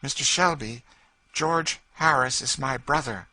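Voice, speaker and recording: A man's voice: mid-range voice, measured pace, bright, forceful tone, no room sound, pitched above the performer's own voice, poor audio. A man putting on an eager, tearful freed woman. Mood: tearful pride. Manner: eager, emotional questioning. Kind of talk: audiobook character voice.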